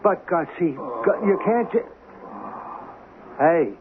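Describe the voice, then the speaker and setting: High-pitched, slangy small-time hustler. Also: male voice, big pitch swings, slow pace, phone-quality sound. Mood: defensive, coaxing. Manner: slangy, streetwise, protesting. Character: small-time male hustler, slangy, easygoing wise guy. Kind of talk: 1970s radio drama acting. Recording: thin band-limited old radio, faint noise.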